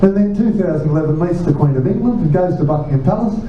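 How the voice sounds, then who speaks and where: A middle-aged Australian man answering audience questions at a pub talk, telling lively stories with quick asides. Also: sits high, slight background noise. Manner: animated storyteller, quick asides, restarts. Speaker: chair of a republican movement, guest speaker. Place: pub function room. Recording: distant, muffled, roomy pub recording.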